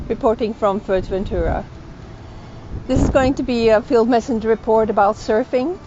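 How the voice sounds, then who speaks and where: A woman's voice: fairly high pitch, a little mellow. A woman field reporter introducing a video casually outdoors, friendly and self-deprecating. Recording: lo-fi sound with faint noise.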